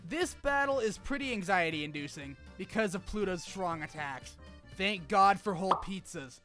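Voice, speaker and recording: A man's voice: high-pitched, expressive, moderate pace, sharp tone, faint music behind. A man narrating a scripted but casual game review, with informal wry asides and some swearing. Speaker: video essay narrator.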